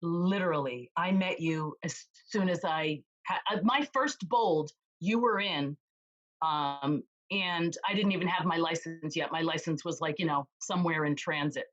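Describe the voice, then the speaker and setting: A woman real estate agent chatting as an interview guest, with a loud voice, telling stories lively and acting out what others said. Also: low-pitched, unhurried, dull audio. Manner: animated storyteller, acts out quoted voices. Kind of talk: casual interview chat.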